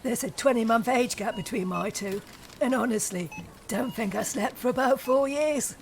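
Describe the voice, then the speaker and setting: A woman's voice: on the high side, moderate pace, a little hiss or hum, close to an everyday voice. An actress playing Beryl, a chatty middle-aged shop cashier in her fifties with an Essex accent, nosy but friendly. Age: 50s. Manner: gossipy, chatty. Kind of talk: audio drama acting.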